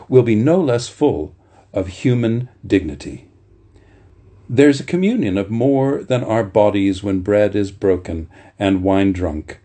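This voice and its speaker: A man reading literature aloud in a measured, deliberate way, with the informal touch of a bedtime story. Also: medium pitch, dark tone, husky. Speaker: reader at a bedtime story series.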